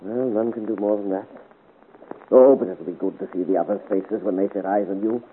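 An actor playing a hearty French musketeer in a radio adventure serial, brisk and declamatory. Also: male voice, on the low side, steady tone, phone-quality sound, natural voice. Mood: hearty, comradely. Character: hearty, challenging 17th-century French musketeer soldier. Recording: scratchy 1950 radio serial, thin and noisy.

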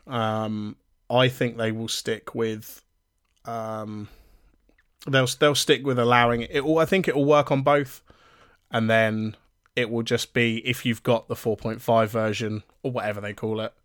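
Man, slightly hoarse, dry mic. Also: medium pitch, unhurried, no echo. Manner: relaxed and chatty, with frequent ums and restarts. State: losing his voice a little. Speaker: podcast co-host. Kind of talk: casual podcast chat.

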